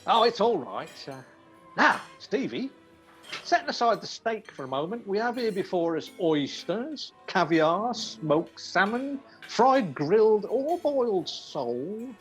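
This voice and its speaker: A woman's voice: medium pitch, sing-song, measured pace, faint music behind. A woman playing a breezy, chatty male detective who asks probing questions in a radio play. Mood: jovial. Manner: jovial, inquisitive.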